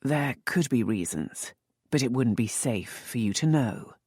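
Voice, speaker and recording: A woman narrator voicing a teasing, wry young earl in an audiobook, speaking dry and measured. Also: rumbling low, expressive, dark tone, husky, dry recording, full character voice. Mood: calm.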